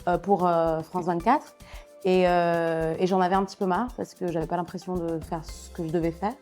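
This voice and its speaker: A woman from Niger speaking as a journalist in a conversational interview, telling personal stories with halting self-corrections. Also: on the low side, flat delivery, darkish tone, soft background music.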